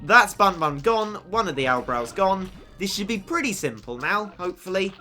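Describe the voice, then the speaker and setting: A young man commentating a mobile game, excitable and cheeky, stammering on his repeats, his voice getting worn from shouting. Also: high voice, normal speed. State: losing his voice. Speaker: gaming YouTuber.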